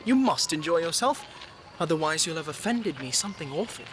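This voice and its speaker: A woman's voice: low-pitched, lively intonation, a little fast, faint music behind. A woman playing an earnest, proper young boy marten in an audio drama. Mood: firm, scolding.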